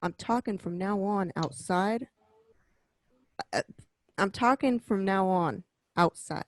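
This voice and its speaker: A woman acting as a stern mob boss in a radio drama. The speech is blunt and profane, circling back to hammer each warning home. The figure is wary and controlling, at an everyday middle pitch with a dry, close sound. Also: slow, no room sound, natural voice. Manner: blunt, profane, emphatic repetition. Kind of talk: amateur radio drama table read.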